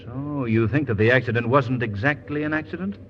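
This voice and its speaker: An actor playing an urbane, earnest male gentleman detective, in 1940s radio style. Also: mid-range voice, a little fast, lightly acted. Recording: dull, hissy old radio recording.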